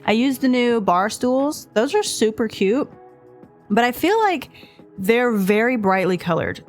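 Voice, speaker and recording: A woman's voice: fairly high pitch, expressive, measured pace. Chatty stuffed-up woman rambling. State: stuffed up from allergies. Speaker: game builder narrating a speed build. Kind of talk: casual voice-over commentary. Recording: voice-over with faint music behind.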